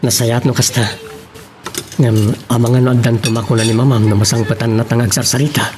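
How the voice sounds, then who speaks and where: A man's voice: mid-range voice, little pitch movement, fairly quick, lightly acted. A man playing a young confidant in an audio love drama, with ambient noise around him. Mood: sympathetic. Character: young adult confidant talking over a poor suitor. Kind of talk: Ilocano radio-style love drama acting.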